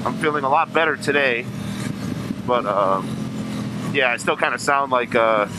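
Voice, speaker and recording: A man's voice: mid-range voice, normal speed. A young man with a cold-stuffed nasal voice, chatting casually for a vlog while riding a motorcycle, rambling and correcting himself. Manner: rambling, self-correcting, upbeat. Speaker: vlogger. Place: outdoors on a motorcycle in traffic. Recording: loud traffic noise behind.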